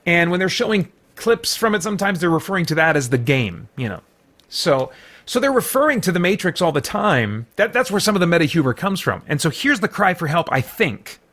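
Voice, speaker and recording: A man giving an unscripted movie review as a host, speaking emphatically in a rambling, halting way with repeated false starts. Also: high voice, very animated, fairly bright tone. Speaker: podcast host and film reviewer.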